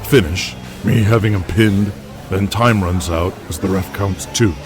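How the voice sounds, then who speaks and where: A man playing a calm, good-natured veteran pro-wrestler in an audio drama, speaking in a measured, conversational way. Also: low voice. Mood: frank recounting. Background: music.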